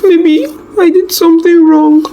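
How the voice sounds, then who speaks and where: Emotional young wife, acted by a storyteller, pleading, pitched up, noisy recording. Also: steady tone, a little slow, mellow. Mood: anguished. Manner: crying, pleading delivery. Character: distraught young wife, tearful and self-blaming.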